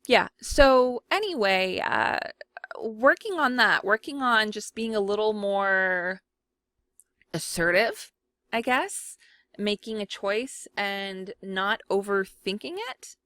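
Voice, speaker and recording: Gravelly chatty woman thinking aloud. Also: mid-range voice, fairly bright tone, no room sound, clean studio sound. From Mississippi, USA. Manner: rambling, self-deprecating, thinks aloud with fillers. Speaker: scrapbooking vlogger. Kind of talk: casual chat while working.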